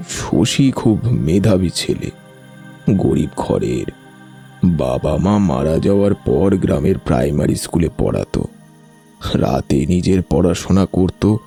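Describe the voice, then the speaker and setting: A man playing a reticent older village uncle who gravely and haltingly recalls old secrets in an audio story. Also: low voice, very animated, dark tone, heavily acted. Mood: grave, reminiscing. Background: music.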